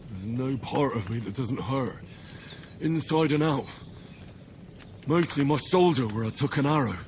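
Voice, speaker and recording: A young wounded man, sincere and polite. His voice sits at an ordinary middle pitch, steady and unadorned, over faint rural background sound. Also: a little slow, slight background noise. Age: young adult. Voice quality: earnest, courteous. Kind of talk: video game dialogue.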